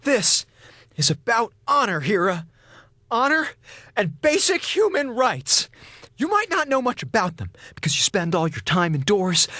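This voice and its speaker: An actor playing an excitable, sarcastic comms officer on a space station in an audio drama, rambling and melodramatic. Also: male voice, extremely high pitch, no echo, studio quality, heavily acted. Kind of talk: modern audio drama in Golden Age radio style.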